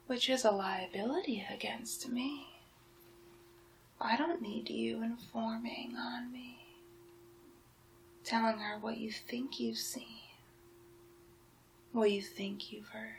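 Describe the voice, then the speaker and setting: A woman playing a sly, menacing town witch in a soft-spoken, whispered ASMR roleplay, murmuring deliberately. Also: a little hiss or hum, low-quality recording. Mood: cold, accusing. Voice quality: soft, breathy whispering mixed with low-volume speech.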